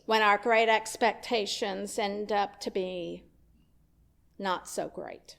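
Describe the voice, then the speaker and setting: A woman's voice: mid-range voice, steady tone, takes it slowly, gravelly, fairly bright tone. A woman minister giving a sermon in a church, telling a story in a conversational way with wry asides. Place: church sanctuary.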